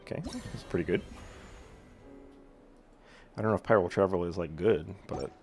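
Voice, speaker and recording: Gamer guy, very low gravelly voice, casual slangy play-by-play, joking with the characters. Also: animated, normal speed, a little mellow, soft background music. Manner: slangy, jokey asides to game characters. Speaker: video game streamer playing through a quest. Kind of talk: casual gameplay commentary.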